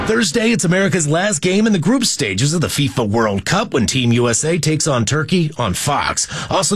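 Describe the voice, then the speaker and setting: A man reads a scripted network news roundup with punchy, measured delivery. His voice is husky and swoops widely in pitch as he stresses each item. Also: dry recording.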